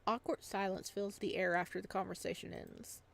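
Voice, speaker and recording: A woman's voice: medium pitch, fairly even intonation, a little fast, creaky. A woman hosting a gaming video, reading a story aloud and adding casual commentary.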